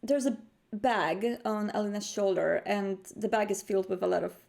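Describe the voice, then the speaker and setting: A woman's voice: medium pitch, steady tone, normal speed, vocal fry, darkish tone. A woman playing a tabletop roleplaying game, describing her character off the cuff and hesitantly searching for words.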